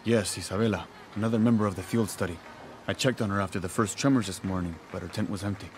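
A man's voice: fairly low pitch, fairly even intonation, quick pace, a little mellow, lightly acted. An actor playing a worried, earnest field researcher in his thirties in a video game cutscene. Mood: worried, regretful.

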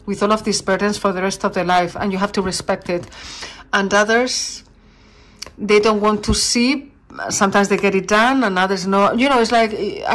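A woman reads tarot cards at a table indoors, speaking off-camera. She talks warmly and reassuringly, in a mid-range voice, and pauses to search for English words. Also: medium pitch. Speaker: tarot reader. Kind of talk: channeled tarot reading.

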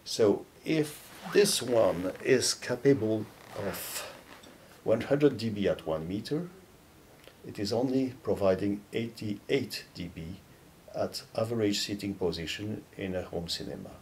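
A man in his fifties giving a measured, halting explanatory product talk to camera, pausing between phrases. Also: lively intonation, a little mellow. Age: 50s. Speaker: loudspeaker company presenter. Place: dark indoor studio or demo room, seated. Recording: low rumbling background noise.

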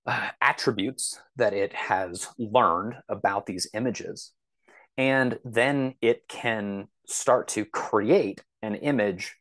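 A man with a fairly low voice chats as an interview guest. He explains things discursively, wandering into examples and asides. The sound is dry and close, with little room to it. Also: dry recording. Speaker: podcast guest, science fiction author. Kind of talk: casual podcast interview talk, explaining.

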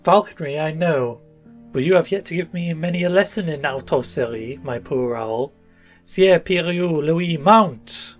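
Man voicing a high-pitched, commanding young French noblewoman. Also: a little slow, soft background music. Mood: poised, commanding. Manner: courtly archaic speech sprinkled with French.

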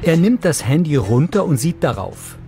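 A man reading scripted audio description as a narrator in a neutral, measured manner. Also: fairly high pitch, big pitch swings, over music.

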